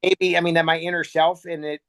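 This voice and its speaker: American man, halting plain-spoken interview guest. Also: fairly high pitch, flat delivery, a bit bright. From United States. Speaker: interview guest, air show pilot. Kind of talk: casual reflective interview talk.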